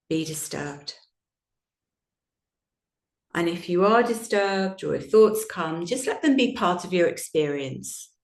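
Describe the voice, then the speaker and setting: A woman teaching yoga nidra, guiding a relaxation meditation in a gentle, soothing, unhurried manner with long pauses. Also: lowish voice. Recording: roomy, echoing sound.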